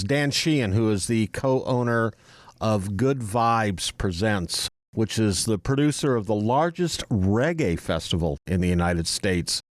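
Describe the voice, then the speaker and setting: A man's voice: fairly low pitch, dry recording, clean studio sound. A man hosting a music business podcast, introducing his guest with chatty banter. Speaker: podcast host. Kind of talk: interview hosting.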